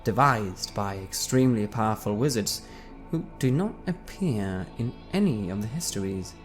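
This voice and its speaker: A man with a low voice reads a first-person backstory in an even, wry manner. He lets phrases trail off softly rather than pushing them. Soft music sits quietly behind the reading. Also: normal speed. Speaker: player reading character backstory. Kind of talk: dramatic reading in character.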